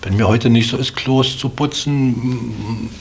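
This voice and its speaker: A man's voice: mid-range voice, steady tone, slow. A man talking casually and reflectively about himself, hesitantly thinking as he speaks. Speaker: interviewee. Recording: clear background noise, ambience.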